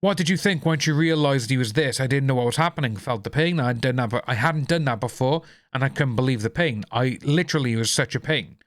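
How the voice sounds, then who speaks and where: A man's voice: brisk, few pauses, no echo. Welsh man reading testimony in a dry room. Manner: steady, low-key reading with apologetic asides. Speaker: YouTube commentator. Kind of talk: reading trial testimony aloud with commentary.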